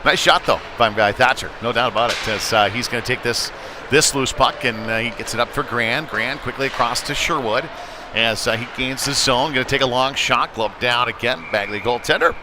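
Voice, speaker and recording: A man commentates live on a hockey game at an ordinary male pitch. His delivery is conversational and can lift into excitement as play develops. Clear noise from the sports venue sits behind his voice. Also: fairly quick.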